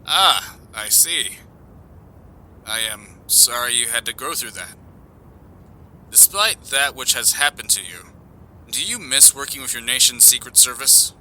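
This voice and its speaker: An actor playing a genial, courteous government doctor in an audio drama, formal and careful, heard over a speakerphone. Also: male voice, medium pitch, measured pace, pauses often, pushed and bright, a little hiss or hum, rough recording. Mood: sympathetic.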